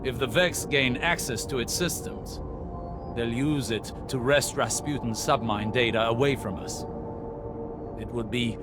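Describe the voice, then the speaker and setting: An actor playing a formal, grave intelligence adviser giving a measured game mission briefing. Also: male voice, medium pitch, lightly acted. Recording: clear music underneath.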